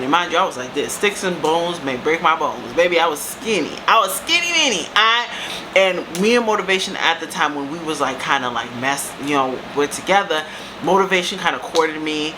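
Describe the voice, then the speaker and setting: A woman in her thirties with a deep voice, telling a casual storytime to her viewers and calling them baby and girl. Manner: chatty, calls viewers baby and girl, lively asides. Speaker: storytime vlogger. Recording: steady air-conditioner hum behind.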